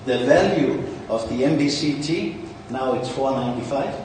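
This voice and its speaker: A man's voice: medium pitch, moderate pace, a little mellow, a little hiss or hum. A man with a Danish accent giving a rambling, boastful conference speech as a company founder. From Denmark. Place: large conference hall. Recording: distant mic in an echoey hall with faint ambience.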